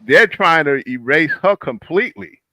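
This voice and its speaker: Guy arguing politics on a panel, forceful bright voice, really dry sound, repeats himself to make the point. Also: on the high side, animated, normal speed, no room sound. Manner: emphatic, repeats phrases for emphasis. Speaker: discussion panelist. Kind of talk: heated political discussion.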